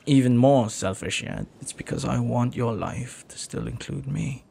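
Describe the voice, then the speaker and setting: A man playing a flirty, lovelorn pirate captain in a romantic audio roleplay, speaking softly and earnestly with hesitant, lingering pauses. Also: medium pitch, vocal fry, breathy edge. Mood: earnest, longing.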